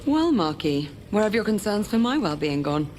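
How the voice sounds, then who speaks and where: A woman's voice: medium pitch, big pitch swings, normal speed, lightly acted. Woman acting a spirited lady knight, noisy backdrop. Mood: wry, playful. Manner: wry, poised. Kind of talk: dramatic game cutscene acting.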